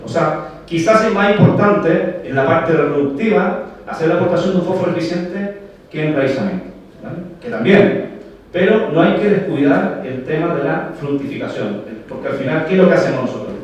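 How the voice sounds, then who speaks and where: A man's voice: fairly high pitch, expressive, normal speed. Chilean man lecturing in an echoing hall. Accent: Chilean Spanish. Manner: explanatory, frequent "¿vale?" checks, rhetorical questions to the audience. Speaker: agronomy speaker. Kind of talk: technical conference talk. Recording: very echoey hall, voice heard from far off.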